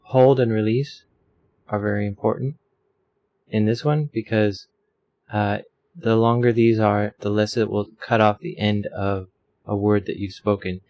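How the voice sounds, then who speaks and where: A man's voice: fairly low pitch, steady tone, a little slow, darkish tone. Rambling man explaining his mic settings. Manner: rambling, self-deprecating, many fillers. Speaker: streamer explaining audio settings. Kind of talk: casual tutorial. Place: home room with a fan running. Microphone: desk microphone.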